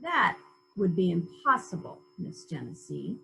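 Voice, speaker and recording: A woman playing a brisk, authoritative police inspector, questioning in a measured, probing way in a murder mystery reading. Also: fairly low pitch, big pitch swings, creaky, sharp tone, slightly rough, heavily acted, rough recording. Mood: grave, terse.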